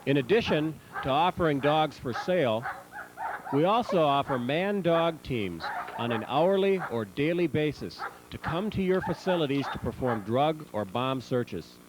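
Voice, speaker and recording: A man reading scripted promotional narration in a measured, instructional manner. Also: on the high side, animated. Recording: noisy old VHS tape transfer.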